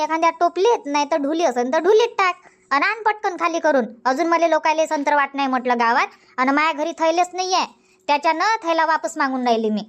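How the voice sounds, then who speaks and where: A woman's voice: sits high, lightly acted. A woman voicing a bossy, chatty village farm woman in a cartoon comedy, insistent and scolding, with a Varhadi Marathi accent from Vidarbha. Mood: matter-of-fact, pressing. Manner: insistent, scolding, rhetorical questioning.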